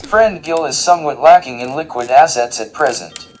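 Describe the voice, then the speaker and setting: An actor playing a polite, literal-minded robot diner worker in audio fiction, speaking formally and precisely with deadpan earnestness. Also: medium pitch, normal speed, crowd noise, natural voice.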